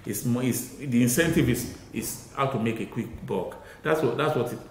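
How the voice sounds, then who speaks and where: A middle-aged Nigerian man making his case with emphatic, animated delivery and repeated points. His lapel mic picks up a roomy studio with a faint hiss behind him. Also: mid-range voice, moderate pace. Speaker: football pundit guest. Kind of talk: studio football discussion. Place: small TV studio with glass-block wall.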